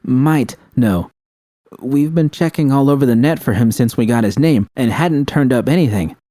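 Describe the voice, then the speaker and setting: A man's voice: mid-range voice, normal speed, mellow, no room sound, studio quality, voice pushed up, close to an everyday voice. Man acting a brash, impatient nomad woman. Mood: insistent. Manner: blunt, urgent, swearing.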